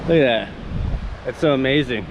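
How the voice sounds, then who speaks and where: A man's voice: mid-range voice, animated, normal speed, lo-fi sound, background noise. A young man from Canada chatting casually on camera as he walks outdoors, rambling and enthusiastic with many fillers and restarts. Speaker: vlogger. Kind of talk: casual walk-and-talk vlog. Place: outdoors on a coastal town street.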